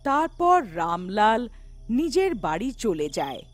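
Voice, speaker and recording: A female storyteller reading a scripted horror folktale. Her voice is high and moves at an unhurried, slow pace, with lively swings of pitch. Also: no room sound, faint music behind.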